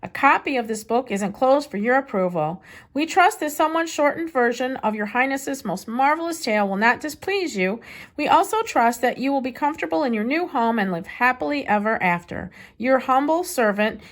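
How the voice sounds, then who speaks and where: Polite publisher for a children's storybook, female reader: high, formal, deferential. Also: lively intonation, a little fast. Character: deferential, polite book publisher writing to royalty.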